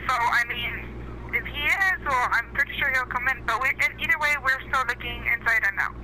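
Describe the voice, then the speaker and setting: A woman's voice: on the high side, brisk. A woman working at a business, taking a phone call politely and a little hesitantly. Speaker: truck stop employee taking a call. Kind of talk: phone conversation with a caller. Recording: noisy telephone line.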